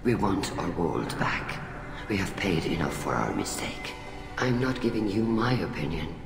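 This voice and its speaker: Deep-voiced, grave alien admiral. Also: male voice, sing-song, measured pace, vocal fry, exaggerated voice. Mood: grave, resolute. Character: weary older male alien admiral, fatherly family friend. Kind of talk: video game dialogue acting. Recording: echoey hall reverb, music behind.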